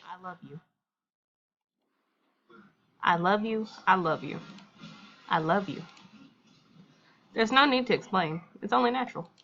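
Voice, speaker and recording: A possessive mother from a visual novel , acted out by a woman, bright in tone and slightly muffled in recording. She turns from taunting cruelty to cooing, smothering devotion. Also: mid-range voice, animated, a little slow, long pauses, a little hiss or hum. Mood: smothering, doting. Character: menacing, possessive mother, swinging between cruel and doting.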